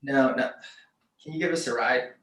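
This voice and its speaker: An actor playing an easygoing, pragmatic investigator with a measured, placating manner, in a screenplay table read. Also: male voice, mid-range voice, a bit bright, roomy sound, close to an everyday voice, rough recording. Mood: hesitant. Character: easygoing, pragmatic investigator, the calmer male partner.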